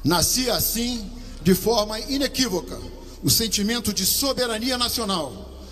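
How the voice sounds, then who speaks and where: A man with a Brazilian accent giving a slow, solemn ceremonial speech as an army commander at an outdoor podium microphone. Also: high-pitched, animated, a bit bright, big-room sound. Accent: Brazilian Portuguese. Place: outdoor parade-ground stand.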